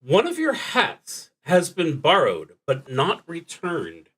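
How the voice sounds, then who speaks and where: A man livestreaming a solo roleplaying game, thinking aloud in an unscripted, deliberative way with frequent pauses and restarts. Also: a little high, huge pitch swings, fairly bright tone, husky, rough recording. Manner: halting, deliberative, often trailing off and restarting.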